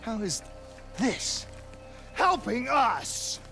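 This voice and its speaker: An intense, desperate soldier, wildly swinging voice. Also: male voice, very high-pitched, big pitch swings, very slow, gravelly, bright, forceful tone, soft background music, exaggerated voice. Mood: defiant anguish. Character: desperate, defiant younger male soldier, grieving and determined. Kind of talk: dramatic video game acting.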